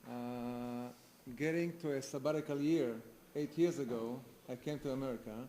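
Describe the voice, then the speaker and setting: A man from Israel, a former teacher, answering quietly and hesitantly as an interview guest. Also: mid-range voice, moderate pace, a little hiss or hum.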